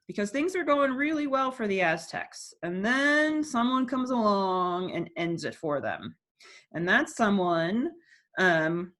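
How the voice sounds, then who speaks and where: A woman teacher reads a textbook passage aloud at a middle pitch, pausing often to explain terms and ask questions. Her talk is plain and patient, with filler words between sentences. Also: fairly bright tone. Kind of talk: classroom read-aloud.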